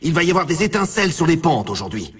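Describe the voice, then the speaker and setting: A man playing an excited, upbeat snowboard race announcer in a video game, with showman commentary and a husky voice. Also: a little high, fast, recorded from a distance. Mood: hyped.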